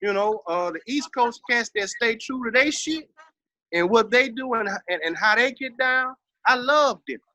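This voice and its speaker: A man's voice: very high-pitched, lively intonation, moderate pace, pushed and bright. A man with a Texas Southern accent talking casually in an interview, emphatic and full of slang and swearing. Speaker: interview guest, hip-hop veteran.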